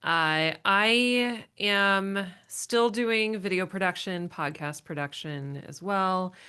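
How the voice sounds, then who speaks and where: A woman's voice: mid-range voice, takes it slowly, pushed and bright, smooth. A woman in her forties, a podcast guest, talking in long reflective runs in a casual interview. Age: 40s.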